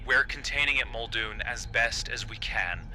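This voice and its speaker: A man performs a cautious, officious manager reporting over the radio in a found-footage horror drama. His voice is very sharp and forward, measured but defensive. Light noise coats the transmission. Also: mid-range voice, low-quality recording.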